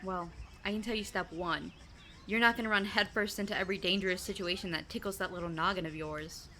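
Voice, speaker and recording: A woman's voice: lowish voice, steady tone, fast talker, bright, forceful tone, slight background noise. A woman playing a sarcastic, protective teenage best friend in an audio drama, with blunt, slangy teasing. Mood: incredulous, teasing.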